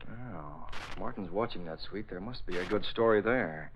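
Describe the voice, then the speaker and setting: An actor playing a shrewd, dryly amused newspaper boss in an old radio drama, wry and confident. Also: male voice, low-pitched, moderate pace. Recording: muffled, noisy old radio recording.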